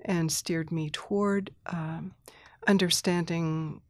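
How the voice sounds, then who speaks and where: A middle-aged woman in a reflective interview, her voice sitting a touch low. She talks thoughtfully, with small restarts, soft laughs and the odd throat clearing. The sound is dry and close. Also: fairly even intonation, slow pace, no echo. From Thailand. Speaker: interview guest.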